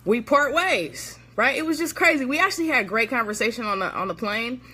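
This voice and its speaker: A woman's voice: on the high side, lively intonation, a little fast, sharp tone, breathy edge. A woman from the Bay Area, a motivational speaker, casually telling a story to viewers on a live video, chatty and laughing often. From San Francisco Bay Area.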